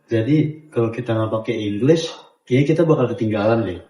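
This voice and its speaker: A man's voice: mid-range voice, a little fast, dark tone, natural voice. A young man acting a stubborn male student in an amateur school skit, chatting casually and dropping English words into his speech. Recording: roomy, dull, music underneath.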